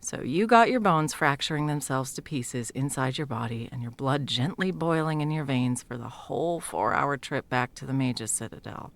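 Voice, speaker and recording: A woman playing a sardonic, foul-mouthed sound mage in an intimate fantasy audio roleplay, talking sarcastically and emphatically with a creaky edge. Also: a bass voice, moderate pace, few pauses. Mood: grim, regretful. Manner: sarcastic, emphatic, swearing freely. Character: sardonic, foul-mouthed female sound mage, guiltily helping an old friend.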